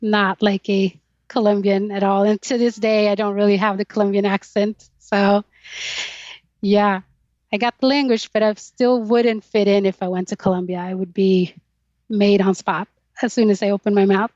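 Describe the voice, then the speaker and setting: A woman's voice: medium pitch, little pitch movement, moderate pace, a little mellow, dry recording, dull audio. A Swedish-born woman whose first language is Swedish, a podcast guest speaking candidly and thoughtfully about her life. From Sweden. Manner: reflective, thoughtful, with light laughs. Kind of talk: candid personal interview.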